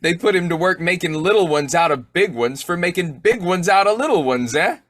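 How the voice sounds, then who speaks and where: A man's voice: sits high, lively intonation, fairly quick, fairly bright tone, dry recording. A man acting a snoopy, folksy husband who cracks slangy jokes in an old-time radio comedy. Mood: jokey. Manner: folksy, jokey, slangy delivery.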